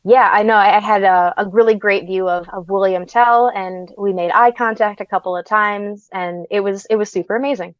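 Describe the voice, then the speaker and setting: A young woman chats cheerfully as a podcast guest, gushing like a fan and peppering her talk with "like." Her voice sits at a middle pitch and comes through dry and a little dull, as if over a lo-fi line. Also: steady tone, normal speed, few pauses, dry recording. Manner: upbeat, giggly fan talk with many "like"s. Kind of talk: casual conversation.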